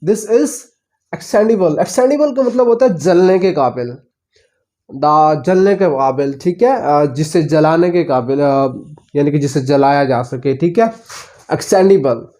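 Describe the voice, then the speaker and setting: Pakistani man, English vocabulary teacher, unhurried delivery, warm mellow tone, repeats words and asks questions. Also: fairly high pitch, animated. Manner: repeats each word several times and asks the listener questions. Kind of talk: slow classroom-style vocabulary lesson in Urdu and English.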